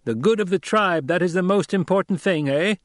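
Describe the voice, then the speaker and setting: A man voicing a young male desert leader who speaks forcefully and questions his listeners rhetorically, in an audiobook. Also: high-pitched, brisk, no room sound, studio quality, pitched above the performer's own voice, lightly acted. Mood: commanding. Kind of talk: audiobook character voice.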